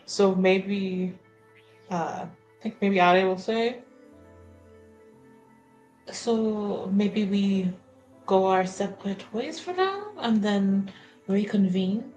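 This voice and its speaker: A woman improvises casually in a tabletop roleplaying game, speaking slowly and a little tentatively. The recording is roomy and echoey, with music underneath. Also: medium pitch. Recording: roomy echo.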